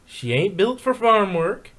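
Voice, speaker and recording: A man's voice: high-pitched, sing-song, unhurried, fairly bright tone, pitched above the performer's own voice, exaggerated voice. A man voicing a stubborn, irritable female farm pony, scolding with folksy rural phrasing in a fan story reading. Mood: argumentative.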